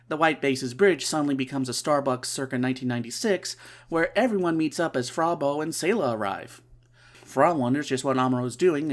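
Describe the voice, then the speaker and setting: A stuffed-up podcast host with a nasal voice, recapping a comic casually in a middling pitch. The host keeps breaking off for wry, sarcastic asides. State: stuffy nose.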